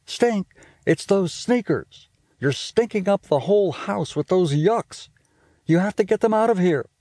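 A man voicing a teasing, bossy big-sister girl with a high, squealing voice and an exasperated, lively manner. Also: measured pace, no room sound, studio quality, heavily acted.

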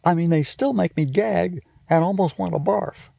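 A man doing a bossy big-sister girl, high and squealing. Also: normal speed, gravelly, warm tone, no echo, heavily acted.

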